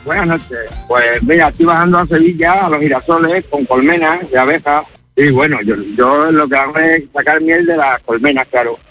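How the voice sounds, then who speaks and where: A man's voice: a little high, normal speed, sharp tone, dry recording. A man from Badajoz province in Spain, chatting casually as a caller on a radio phone-in. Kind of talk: casual chat. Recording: dull dry phone-in, music behind.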